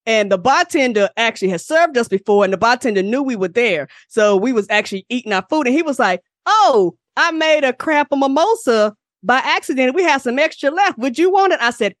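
A middle-aged woman co-hosting a casual podcast, telling stories in animated slang and swearing freely. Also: high-pitched, fairly quick, few pauses, dry recording, studio quality. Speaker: podcast co-host. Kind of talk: casual chat and storytelling.

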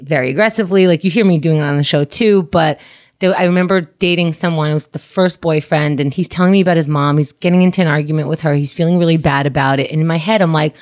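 A woman's voice: deep voice, quick pace, a little mellow. A woman co-hosting a casual podcast chat, telling personal stories in a candid, reflective way with blunt asides.

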